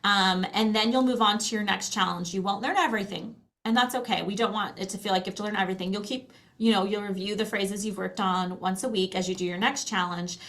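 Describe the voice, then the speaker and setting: A woman's voice: mid-range voice, fairly even intonation, fast, a bit bright. A middle-aged American woman explaining a language program in a casual, chatty and encouraging way. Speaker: language program presenter and guest. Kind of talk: casual explanatory product demonstration.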